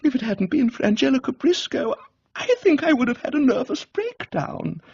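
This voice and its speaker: A man voicing a brash, excitable middle-aged English aunt, gushing and bossy, in a comic radio book reading. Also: extremely high pitch, moderate pace, vocal fry, breathy edge, dry recording, dull audio, pitched above the performer's own voice, heavily acted. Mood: breathless explaining.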